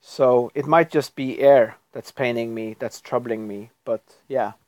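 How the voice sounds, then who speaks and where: A man's voice: mid-range voice, steady tone, measured pace, a little mellow, no echo. A man from Norway, chatting casually on a live stream at his computer, rambling and chuckling often. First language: Norwegian. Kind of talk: casual livestream chat.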